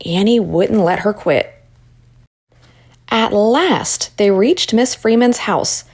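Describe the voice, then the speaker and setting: A woman reads a storybook aloud for children. Her voice sits at a middle pitch with noticeable creak in it, and she narrates expressively. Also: takes it slowly, a little mellow.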